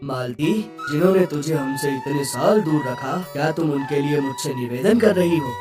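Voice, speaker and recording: Low-voiced stern cartoon king played by a woman. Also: animated, normal speed, far from the mic, music in the background. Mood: fatherly. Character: stern, authoritative king and loving father. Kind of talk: Hindi cartoon story dialogue.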